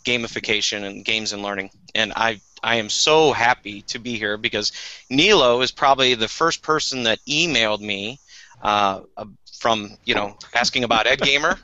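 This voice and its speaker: A man's voice: mid-range voice, sharp tone, no echo, dull audio. An American man speaking enthusiastically and conversationally as a guest on an online video call. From United States. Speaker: teacher and podcaster, panel guest. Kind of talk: informal conversational introduction.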